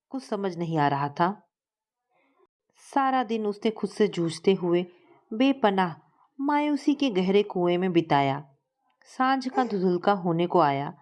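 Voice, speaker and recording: A woman's voice: medium pitch, a little mellow. A woman reading a scripted story as a storyteller, narrating in prose.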